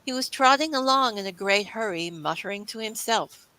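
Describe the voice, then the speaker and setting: A woman narrates a classic children's story from a script. Her voice is bright and forward, at a middling pitch, in a dry, close sound. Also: expressive, normal speed, no room sound, low-quality recording.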